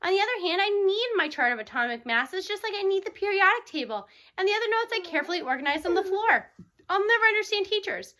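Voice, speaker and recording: A woman reading a first-person children's novel aloud in an animated, expressive way. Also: very high voice, fast talker. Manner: animated, acting out dialogue. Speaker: audiobook reader.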